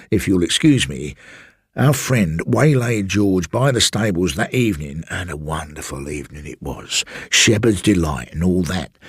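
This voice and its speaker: A man's voice: sits low, breathy edge, dry recording, studio quality, exaggerated voice. A man voicing a garrulous old railway porter who rambles confidingly and keeps addressing his listener as sir. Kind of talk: audiobook storytelling.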